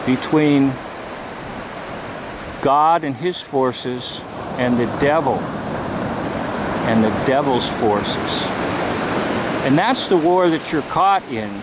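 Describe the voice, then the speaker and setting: A vlogger telling a story to camera in a deliberate, emphatic, preachy way, with pauses between short phrases, outdoors on a handheld camera. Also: mid-range voice, lively intonation. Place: outdoors at a seashore. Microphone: handheld camera microphone. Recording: loud surf and wind-like noise. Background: ocean waves.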